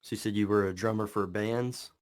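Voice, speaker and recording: A man's voice: on the low side, fairly even intonation, brisk. A man hosting a podcast, chatting in a laid-back, slangy way. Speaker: podcast host. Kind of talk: casual interview.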